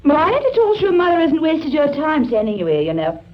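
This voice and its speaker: A shrewd London housewife, high-voiced and wildly sing-song. She is coy, stalling and stubborn in an old radio play. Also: huge pitch swings, brisk. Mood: coy, reluctant. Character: shrewd, stubborn married London working-class woman, coyly driving a bargain. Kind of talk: 1950s radio drama acting. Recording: muffled vintage 1950s radio recording.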